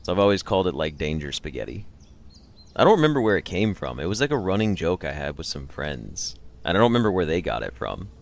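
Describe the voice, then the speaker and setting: Male livestream host, low register, loose conversational delivery, joking and slangy asides to viewers. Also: sing-song, fast talker, gravelly. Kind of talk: casual chat with live stream viewers.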